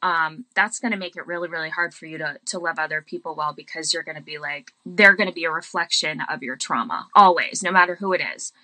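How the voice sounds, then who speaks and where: A woman's voice: low voice, fast, hardly any pauses, pushed and bright, no room sound, poor audio. A woman in a casual, reflective conversation about faith, explaining things thoughtfully and with emphasis.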